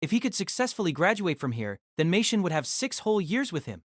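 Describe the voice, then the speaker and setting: A narrator telling a fan audio drama story in an expressive storytelling manner. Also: medium pitch, quick pace, no room sound, studio quality.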